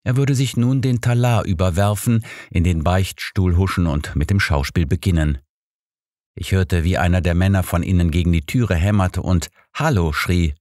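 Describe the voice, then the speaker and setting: A man's voice: low voice, normal speed, a little mellow, dry recording, clean studio sound. A man reading scripted narration of a detective story as an audiobook narrator.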